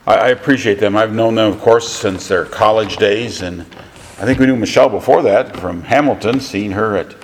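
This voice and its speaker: A pastor introducing a guest preacher, speaking haltingly with many fillers and restarts, recorded in a church. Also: male voice, fairly low pitch, slight background noise. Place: church sanctuary. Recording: church hall reverb.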